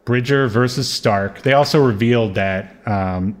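A man's voice: medium pitch, measured pace. Man chatting casually, hesitant delivery. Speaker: podcast co-host. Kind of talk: casual chat, reviewing a TV show.

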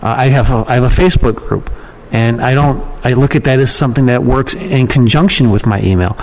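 A man's voice: medium pitch, fairly quick, a little mellow, poor audio. A man giving casual, rambling and frank answers as an opinionated guest in an interview. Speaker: podcast guest, email marketer and copywriting trainer.

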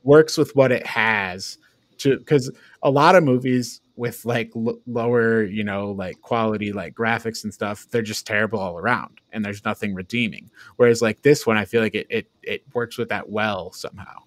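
A man chatting casually as a podcast co-host, haltingly thinking aloud through a review. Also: on the low side, steady tone, dry recording.

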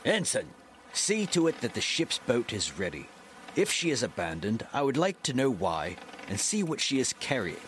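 An actor playing a stern, commanding naval captain in a seafaring audio drama, formal and curt. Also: male voice, medium pitch, huge pitch swings, moderate pace, fairly bright tone, a little hiss or hum. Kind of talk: period seafaring radio drama acting.

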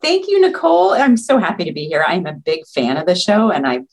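Low-voiced woman, earnest guest on a call. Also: wildly swooping pitch, brisk. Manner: warm, earnest, talks in long run-on thoughts. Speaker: nursing leader, guest. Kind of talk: casual interview chat. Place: remote video call.

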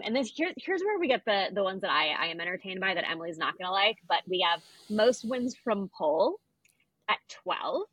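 A woman's voice: mid-range voice, a bit bright, slightly rough, no echo, poor audio. A woman co-hosting a podcast, chatting casually about sport with wry asides. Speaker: podcast co-host. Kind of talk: casual sports chat, recapping records.

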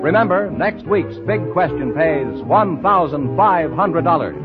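A man's voice: fairly high pitch, animated, unhurried. An American man announcing a mid-century radio show in a scripted, old-time broadcast style. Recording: dull old radio recording with music.

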